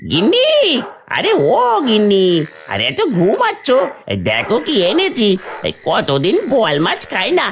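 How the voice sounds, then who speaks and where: A woman's voice: medium pitch, wildly swooping pitch, moderate pace. A woman voicing a sly, wheedling male thief and grumbling husband in a cartoon. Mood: eager, wheedling. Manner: scheming, wheedling, exaggerated. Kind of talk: cartoon voice acting.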